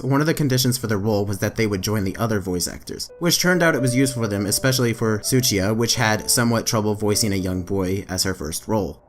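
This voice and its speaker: A man reading anime trivia as a scripted voice-over, with emphatic lifts in his delivery. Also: lowish voice. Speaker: anime trivia video narrator. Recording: faint background music under voice-over.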